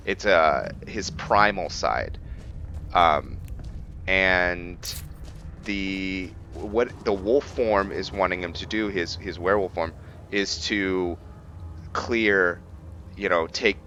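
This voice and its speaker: A man giving casual gameplay commentary and explaining character lore, haltingly thinking aloud as he goes. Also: low voice, sharp tone, highs cut off, a little hiss or hum. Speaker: gameplay host and role-player.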